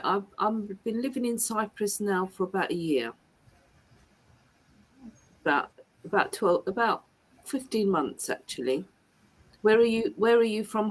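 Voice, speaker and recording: Patient female tutor, slow, slightly husky delivery. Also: mid-range voice, lively intonation, rough recording. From England. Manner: patient, repeats and rephrases questions, many restarts. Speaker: English tutor. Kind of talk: online one-to-one English lesson.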